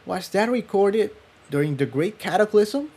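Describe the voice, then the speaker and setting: A man's voice: high-pitched, animated, moderate pace, a little mellow, pitched above the performer's own voice. A man voicing a curious, anxious female pony adventurer in a story reading, with an uneasy, questioning manner. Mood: puzzled.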